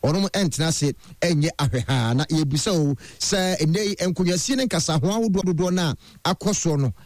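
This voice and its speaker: A man reading the news on the radio in a measured way. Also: on the high side, darkish tone, dry recording, studio quality.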